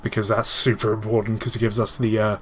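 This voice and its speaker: A man's voice: lowish voice, completely flat delivery, fast talker. Man doing sweary gaming commentary, noisy recording. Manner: sweary, enthusiastic explainer. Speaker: host playing and explaining a video game. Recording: clearly noisy room recording.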